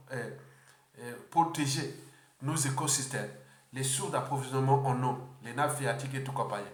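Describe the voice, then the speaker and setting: A man from the Republic of the Congo giving an unscripted political address, halting often and repeating words. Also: mid-range voice, echoey room. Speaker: political speaker.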